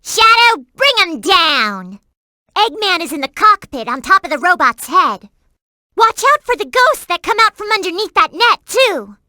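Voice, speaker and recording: A woman's voice: very high-pitched, big pitch swings, a little slow, sharp tone, dry recording, clean studio sound, heavily acted. A woman voicing a perky, earnest and bossy young girl companion in a video game, with emphatic delivery. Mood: urgent, alarmed. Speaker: in-game hint-giving companion.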